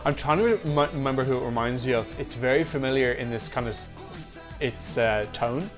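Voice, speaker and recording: This is an excitable man chatting through a song reaction, quick to swear in delight. His voice sits at an ordinary middle pitch. Faint music plays quietly underneath him. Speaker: Eurovision reaction YouTuber. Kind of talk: casual reaction commentary. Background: faint music playing.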